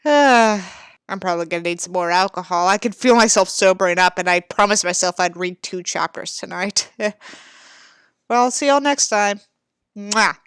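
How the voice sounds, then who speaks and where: A woman's voice: mid-range voice, normal speed, smooth, no echo. A woman doing a sarcastic dramatic reading with asides, theatrical and sardonic, given to swearing. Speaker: host reading a book aloud with commentary.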